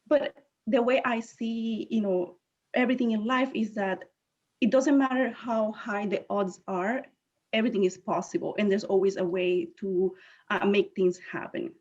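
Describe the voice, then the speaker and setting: A woman in her thirties from Puerto Rico, a native Spanish speaker with a Puerto Rican accent, giving a conversational talk as a guest speaker, often pausing on filler phrases. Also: mid-range voice, steady tone, darkish tone. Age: 30s. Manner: conversational, leans on filler phrases, self-correcting. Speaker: guest speaker, chemist and inventor. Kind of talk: virtual presentation talk. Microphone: video call. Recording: dull lo-fi call audio.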